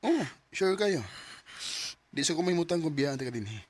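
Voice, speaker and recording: An actor playing a kind, eager young bachelor with a Cebuano accent in a Bisaya radio drama, stammering when flustered. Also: male voice, on the high side, sing-song, normal speed, very noisy. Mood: concerned. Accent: Cebuano Filipino. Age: young adult.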